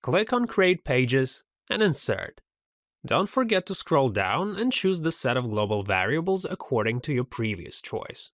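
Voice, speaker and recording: A man presenting a scripted software tutorial voice-over, upbeat and giving step-by-step instructions. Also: mid-range voice, big pitch swings, unhurried, no echo, studio quality.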